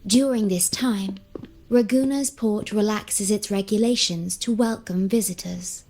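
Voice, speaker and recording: An actress voicing a composed, formal young female advisor in a video game, explaining in a measured way. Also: mid-range voice, darkish tone. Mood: calm, informative. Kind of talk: scripted game dialogue.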